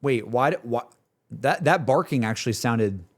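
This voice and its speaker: A man's voice: medium pitch, expressive, normal speed, background noise. A man streaming his casual, exclamatory reactions to game footage, using slang and repeating his phrases. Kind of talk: casual excited live reaction.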